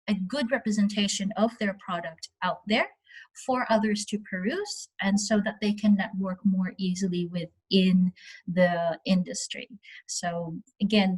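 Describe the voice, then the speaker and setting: A woman with a mid-pitched voice answers as a founder on an online panel. She gives measured advice, phrasing it deliberately and with emphasis. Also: low-quality recording. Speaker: media software founder on a panel.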